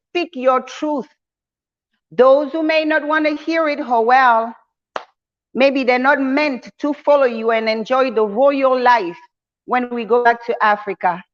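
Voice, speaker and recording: A woman with a notably high voice delivers a motivational address to her online community. She is emphatic and preacher-like, often using endearments for her listeners. The recording is dull and a little lo-fi. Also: fairly bright tone. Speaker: channel host, community leader.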